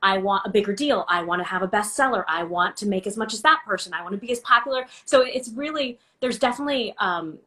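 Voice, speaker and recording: Woman host, bright tone, conversational questions, repeated starts. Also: medium pitch, quick pace, few pauses. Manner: conversational, repeats words as she starts phrases. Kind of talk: interview host.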